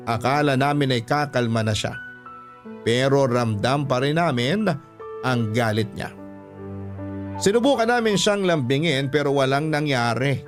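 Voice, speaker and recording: A male storyteller reads a first-person tale in classic radio style. His voice sits at a medium pitch over soft background music.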